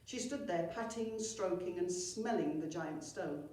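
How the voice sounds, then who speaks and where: A woman teacher reading a children's story aloud with animated, theatrical expression. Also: on the low side, measured pace. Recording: very echoey room, distant mic.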